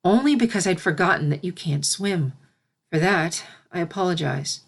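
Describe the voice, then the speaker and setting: A woman's voice: on the low side, lively intonation, moderate pace, slightly rough. A woman voicing a caring, regal winged unicorn princess, a motherly mentor in a storybook reading. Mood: apologetic concern.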